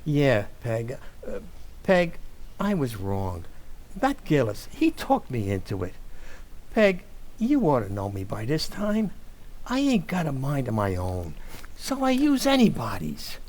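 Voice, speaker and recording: A man acting a hapless, soft-hearted working-class husband in a radio comedy, with an American accent and a hesitant, stammering, blustery delivery. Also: mid-range voice, huge pitch swings, unhurried. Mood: sheepish, pleading.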